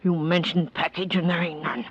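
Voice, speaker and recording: An actor playing a nervous, curt, money-grubbing husband with rough, halting speech in a 1940s radio drama. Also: male voice, on the high side, flat delivery, natural voice. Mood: irritated. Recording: dull noisy vintage radio recording.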